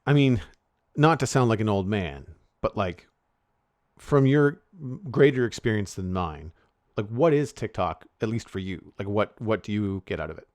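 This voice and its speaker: A man co-hosts a podcast in a relaxed chat. His voice sits fairly low, and he rambles and restarts his sentences often. He is recorded dry and close to the mic. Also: moderate pace, no echo. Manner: rambling, many restarts and fillers. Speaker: podcast co-host.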